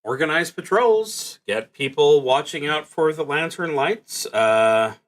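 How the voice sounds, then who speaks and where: A man's voice: on the high side, animated, takes it slowly. A man live-streaming a solo tabletop roleplaying game, reading prompts and thinking aloud as he plays.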